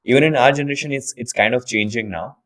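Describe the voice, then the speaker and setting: A man's voice: mid-range voice, fast, fairly bright tone. A young man from India hosting a podcast, chatting casually and restarting his sentences with repeated words as he thinks aloud. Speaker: podcast host. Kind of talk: casual interview chat.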